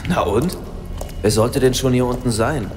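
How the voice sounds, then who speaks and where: A man's voice: medium pitch, normal speed, reverberant, big-room sound, noisy background, close to an everyday voice. A man playing a talkative, naive companion who shrugs off danger. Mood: carefree. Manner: talkative, carefree. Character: chatty, naive male companion.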